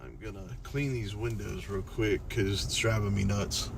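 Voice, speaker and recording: A man's voice: lowish voice, steady tone, moderate pace, creaky, over a noisy crowd. A man chatting casually and informally in slangy words, as a vlogger out in a car. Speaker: police-scanner watchdog vlogger. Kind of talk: casual chat while driving. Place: inside a car. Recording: loud vehicle and road noise. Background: car and street noise.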